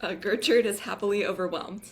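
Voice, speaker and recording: A woman's voice: mid-range voice, a little fast. A woman reading stage directions as the narrator of a scripted table read.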